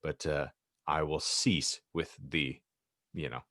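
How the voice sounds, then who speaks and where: A man's voice: a bass voice, fairly even intonation, slow, bright, forceful tone. A man hosting a podcast in joking banter, with a wordy, mock-formal manner and teasing sarcasm. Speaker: podcast host.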